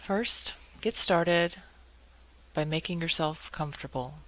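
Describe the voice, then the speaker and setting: A woman leading a guided meditation in a calm, measured way, leaving long pauses between short phrases. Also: low-pitched, poor audio.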